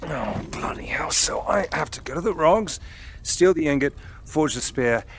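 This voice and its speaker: Wry, animated fantasy hero. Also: male voice, fairly high pitch, moderate pace, a little hiss or hum. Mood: sardonic. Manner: dry, sardonic. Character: wry, practical fantasy hero, long-suffering but kind. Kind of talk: video game character acting.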